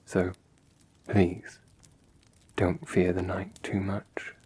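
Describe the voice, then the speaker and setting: A man acts out a young male vampire, courteous and brooding, in an ASMR roleplay. The voice is dark, mellow and husky, with little rise and fall. He sounds measured, formal and protective. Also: deep voice, completely flat delivery, full character voice. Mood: softly reassuring.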